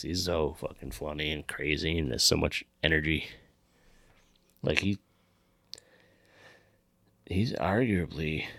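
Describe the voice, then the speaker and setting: A man's voice: a bass voice, gravelly, fairly bright tone, dry recording. A man co-hosting a casual chat about films, talking informally and swearing freely. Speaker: podcast co-host.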